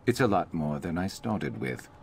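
Very deep, gravelly reptilian loner. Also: male voice, moderate pace, slight background noise, heavily acted. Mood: reflective, loyal. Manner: dry, deadpan, grumbling delivery. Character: wry, gruff reptilian adventurer companion, a brooding loner. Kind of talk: video game companion dialogue.

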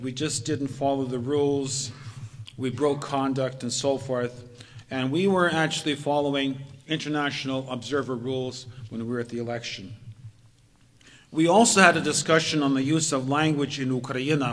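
Older man presenting at a podium. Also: mid-range voice. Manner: measured, methodical recounting. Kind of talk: lecture presentation. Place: community hall, at a podium. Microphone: podium microphone, far camera. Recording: long reverb of a hall.

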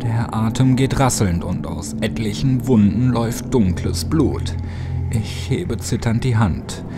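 A man's voice: on the low side, measured pace. A man reading a first-person horror story as a dramatic, theatrical narrator. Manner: theatrical, drops into hushed whispers. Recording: music behind the voice. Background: music.

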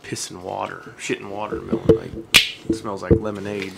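A man's voice: lowish voice, little pitch movement, normal speed, creaky. A man in his thirties co-hosting a live stream, joking crudely and laughing while tasting hot sauces at a desk mic in a small studio room. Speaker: co-host. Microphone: studio mic on desk stand. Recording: noisy live stream audio.

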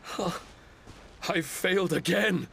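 A man voicing an earnest, honorable samurai spirit in a game, speaking formally and solemnly and quick to blame himself. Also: high voice, vocal fry, a bit bright, a little hiss or hum, exaggerated voice. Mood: frustrated, remorseful. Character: earnest paper-cutout samurai spirit, male, honorable, self-blaming. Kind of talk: video game voice acting. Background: faint game music.

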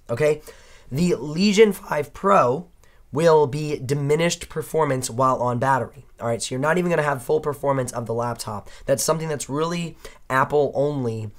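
A man in his thirties with a hoarse, worn voice, giving an upbeat, chatty laptop comparison review at a studio desk. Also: medium pitch. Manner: upbeat, chatty, punctuates points with "okay?". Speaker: tech reviewer. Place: indoor studio desk, dim room.

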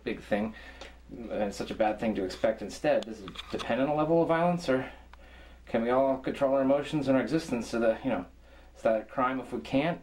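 A man's voice: medium pitch, darkish tone, poor audio. Man musing aloud to a camcorder. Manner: questioning, thinking aloud, slightly halting. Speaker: amateur filmmaker. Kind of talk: rambling musing monologue to camera. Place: small indoor room with cardboard walls.

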